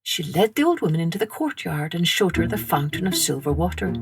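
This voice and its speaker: A woman narrating a scripted children's story in an expressive storytelling manner. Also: on the low side, brisk, husky, no room sound, studio quality, soft background music. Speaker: storytelling podcast host and narrator.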